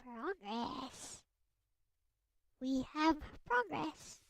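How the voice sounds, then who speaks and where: A woman livestreamer chatting casually as she works, halting and thinking aloud, often muttering and repeating short phrases. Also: high voice, lively intonation, gravelly. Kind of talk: casual livestream chat while working. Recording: music playing behind the voice. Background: background music.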